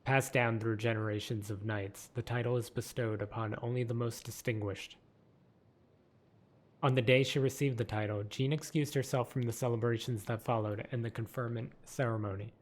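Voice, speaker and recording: A man narrating game lore from a script in a measured, steady reading. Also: medium pitch.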